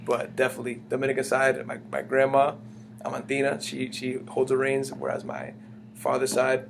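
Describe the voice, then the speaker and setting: A man's voice: medium pitch, monotone, normal speed, gravelly. A young man from the United States, talking in a rambling, casual way as the interviewee, full of fillers and restarts. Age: young adult. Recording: humming background noise.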